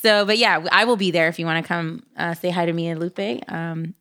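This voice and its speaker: A woman in her thirties co-hosts a podcast with a bright, crisp voice. She talks quickly and chattily, laughing as she goes. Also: on the low side, fairly even intonation. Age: 30s. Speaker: podcast co-host. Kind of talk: casual podcast chat.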